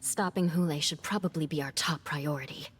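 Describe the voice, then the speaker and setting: An actress playing a composed, dutiful netherworld judge in a video game, speaking in a measured, formal, resolute manner. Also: female voice, low-pitched, slight background noise, natural voice. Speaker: character voice actor. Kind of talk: video game story dialogue. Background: background music.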